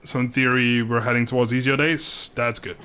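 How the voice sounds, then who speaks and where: A man livestreaming a video game, chatting informally and thinking aloud in a halting, casual way. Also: mid-range voice, fairly even intonation, sharp tone, slight background noise. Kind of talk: casual livestream chat over gameplay.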